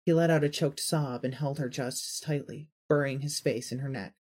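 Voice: medium pitch, steady tone, moderate pace, a little mellow. A narrator reading a scripted second-person story for an audio drama.

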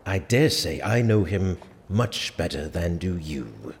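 An actor playing a firm, measured sheriff who speaks formally and with authority in a medieval audio drama. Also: male voice, low voice, very animated, gravelly, heavily acted. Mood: stern, commanding. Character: commanding, measured sheriff with some compassion. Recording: long hall reverb, faint ambient noise.

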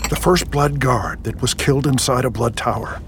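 A man acting a grave seer lord in a fantasy audio drama, with a solemn, halting delivery. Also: medium pitch, a little hiss or hum, close to an everyday voice. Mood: haunted, grave. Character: male seer lord, grave bearer of war visions.

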